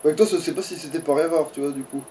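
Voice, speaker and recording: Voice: mid-range voice, steady tone, rapid-fire, mellow, recorded from a distance, slight background noise. A gamer giving casual, grumbling, sweary commentary while playing a video game.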